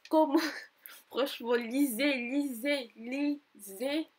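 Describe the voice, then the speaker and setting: A woman's voice: high voice, drawn-out words. A young woman from Côte d'Ivoire with an Ivorian French accent, talking through an online form in a chatty, repetitive voice-over tutorial. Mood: exasperated. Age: 20s. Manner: chatty, repeats herself, grows exasperated and emphatic. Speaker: tutorial vlogger. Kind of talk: screen-recorded voice-over tutorial.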